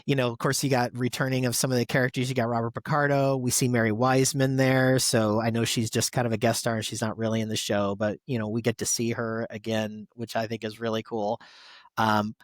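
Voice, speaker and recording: A man's voice: mid-range voice, flat delivery, fairly quick, no echo. A man co-hosting a podcast, giving enthusiastic, conversational review commentary. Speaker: podcast co-host.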